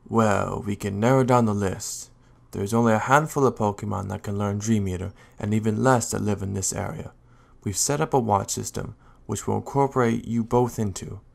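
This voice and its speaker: Man as Raichu town guardian, deep register, heavy creak, calm, responsible, measured delivery, audio drama. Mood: earnest, explaining. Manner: measured, explanatory. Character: calm, helpful adult male Raichu guardian, steady and responsible.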